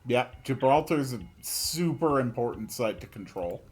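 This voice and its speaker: Male let's-play host, mid pitch, animated intonation, dryly sarcastic asides over game music. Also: measured pace. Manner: dry sarcasm. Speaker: gaming video host. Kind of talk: casual gameplay commentary.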